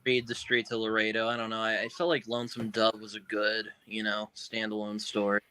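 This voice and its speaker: A man's voice: on the low side, monotone, fairly quick, pushed and bright, smooth, no room sound. A man giving his thoughts in a casual book discussion.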